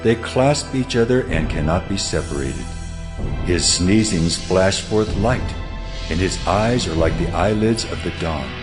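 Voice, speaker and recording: A man plays a majestic, stern God in a scripture drama. The voice is very deep and the delivery solemn and declamatory, built on challenging rhetoric. Music plays clearly behind the words. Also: animated, measured pace. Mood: solemn, awed.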